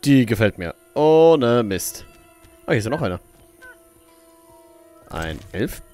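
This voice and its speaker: A man's voice: medium pitch, big pitch swings, slow pace, darkish tone, soft background music. A man giving casual, informal let's play commentary, joking and muttering to himself as he plays. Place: voice-over recorded apart from the game, game music behind.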